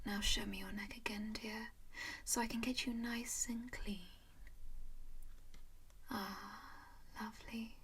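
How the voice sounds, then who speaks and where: A centuries-old vampire lady speaks in a breathy whisper, soft and doting, never rushing. She is genteel and possessive, calmly scheming as she fusses over her charge, as a woman acts her out in an ASMR roleplay. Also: mid-range voice, full character voice.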